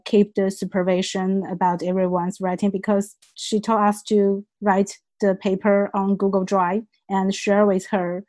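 A woman's voice: mid-range voice, darkish tone, no echo. A woman international student guest telling things plainly and matter-of-factly, with small restarts. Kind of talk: casual discussion.

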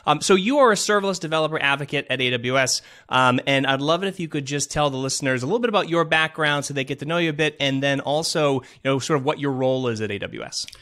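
Man, podcast host, crisp bright tone, middle pitch, enthusiastic, filler-heavy. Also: fast talker, hardly any pauses. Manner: enthusiastic, lots of ums and you knows. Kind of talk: casual podcast interview.

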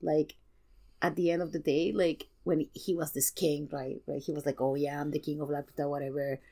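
A woman in a casual film discussion, speaking earnestly and emphatically and pausing to search for words. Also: sits low, level tone, dark tone. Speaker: podcast guest.

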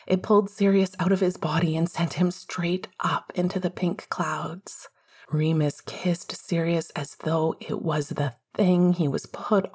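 A woman narrates fiction in a lowish voice with a husky edge. She reads scripted prose as an audiobook storyteller. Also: a little mellow.

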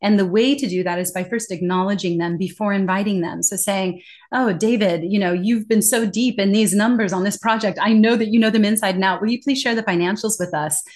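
A woman of middle pitch speaks as an interview guest, explaining her ideas with animated emphasis. She laughs easily and often as she talks. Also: a little fast. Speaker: interview guest, corporate communication trainer. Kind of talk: conversational interview.

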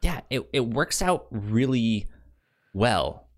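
A man chats about a film as a podcast co-host. His voice sits at a medium pitch, and his casual delivery is halting, with stammered, repeated syllables while he searches for the word. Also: animated.

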